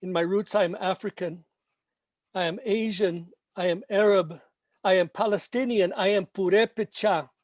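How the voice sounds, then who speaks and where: Guy with a high voice reading a poem, slow chanting repeats, dry close sound. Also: fairly even intonation, dry recording. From Mexico. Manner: slow chanting repetition, emphatic. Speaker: poet. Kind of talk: poetry reading.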